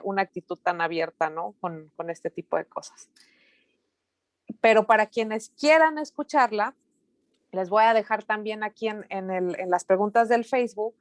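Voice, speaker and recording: A woman's voice: medium pitch, no room sound. A woman moderating a live online talk, reading out comments and chatting, with many fillers and tag questions. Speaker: online event host.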